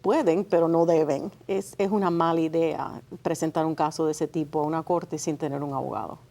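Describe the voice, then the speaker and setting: A low-voiced woman in her sixties, born in Cuba, serving as a family court judge. She explains legal matters plainly and firmly during a television interview. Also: fairly even intonation, brisk, creaky, dark tone. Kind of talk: TV interview answers.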